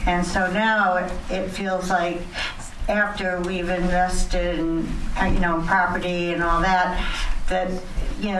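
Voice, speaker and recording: Woman, measured slow delivery, hesitant, public meeting comment, roomy and slightly distant recording. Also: lowish voice, fairly bright tone. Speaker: resident addressing a town board at a public meeting. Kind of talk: public comment, partly prepared. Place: community center meeting hall. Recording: echoey, distant, noisy meeting-hall recording.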